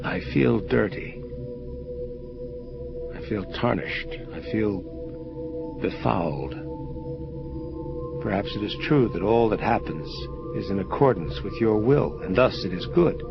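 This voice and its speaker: Avenging angel, low voice, grave, troubled, measured formal speech, audio drama, dull recording. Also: male voice, expressive, gravelly, heavily acted. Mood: weary, sorrowful. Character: grave, troubled male angel of vengeance confronting his creator. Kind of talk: dramatic audio play dialogue. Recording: dull lo-fi audio under music. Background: prominent atmospheric music.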